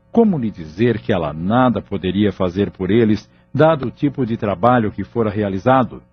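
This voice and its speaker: A man's voice: fairly low pitch, husky. A man reading scripted narration for an audio novel as its narrator. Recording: muffled lo-fi audio, background music.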